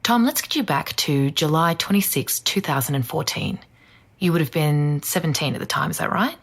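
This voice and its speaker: A woman acting as a composed true-crime podcast host, measured, probing and sceptical, in an audio drama. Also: sits low, animated. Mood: measured, serious.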